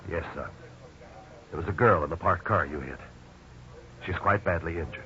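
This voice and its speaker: An actor playing a steady, reassuring middle-aged father, worried but firm, in a 1950s radio drama. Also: deep voice, moderate pace. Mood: soothing, concerned. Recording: dull, noisy old radio recording.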